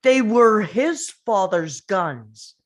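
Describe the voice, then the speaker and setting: A woman playing an anguished, confrontational woman in a dramatic table read, pressing for answers in a halting, interrupting way. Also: mid-range voice, animated. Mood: probing, incredulous.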